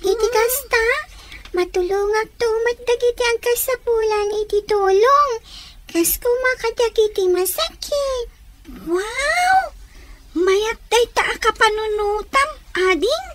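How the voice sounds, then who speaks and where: Eager, affectionate schoolchild for radio drama; tiny high voice, unhurried delivery. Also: fairly bright tone, exaggerated voice. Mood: earnest. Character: eager, affectionate young schoolchild sibling at home.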